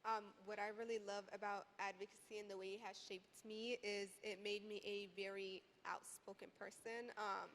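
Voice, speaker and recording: A woman's voice: mid-range voice, flat delivery, moderate pace, few pauses, fairly bright tone. A woman in her twenties from Iraq speaking earnestly and conversationally as a panelist, often saying "like" and "you know". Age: 20s. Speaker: youth advocacy panelist and educator. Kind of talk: panel discussion, personal and conversational. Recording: reverberant hall with background noise.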